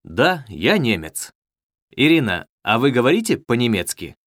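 A young man playing a polite student in a scripted textbook dialogue, reading slowly and clearly. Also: mid-range voice, big pitch swings, a bit bright, no room sound, studio quality. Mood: friendly. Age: young adult. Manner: slow, carefully clear reading. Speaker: dialogue actor in a listening test.

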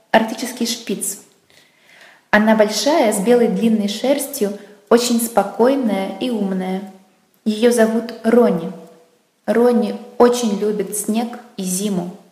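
A woman calmly tells a short first-person story. Her voice rings out in a roomy space with a long reverb trailing behind it, and her pitch stays in a middle range. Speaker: exam listening text speaker. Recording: echoey, reverberant room.

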